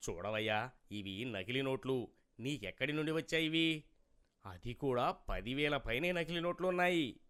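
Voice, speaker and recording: A man's voice: mid-range voice, animated, normal speed, a bit bright, clean tone, close to an everyday voice. A man voicing a stern, officious bank cashier in a cartoon moral story, curt and admonishing. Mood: stern warning.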